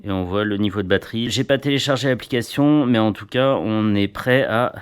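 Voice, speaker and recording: A man's voice: fairly low pitch, a little fast, clean tone. A man reviewing motorcycle gear in a casual, chatty way, with playful little asides.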